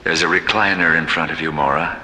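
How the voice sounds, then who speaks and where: Wise male alien leader for radio sci-fi. Low, solemn, ceremonial delivery in long reverb over music. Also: steady tone, sharp tone, a little hiss or hum, exaggerated voice. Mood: solemn, soothing. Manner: measured, ceremonial, commanding. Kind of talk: old-time radio science fiction acting.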